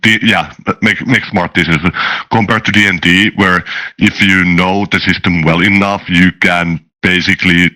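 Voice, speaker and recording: A man talking casually as a game developer, in halting, stop-and-start phrases. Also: low voice, fairly even intonation, bright, forceful tone, rough recording. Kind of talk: casual chat.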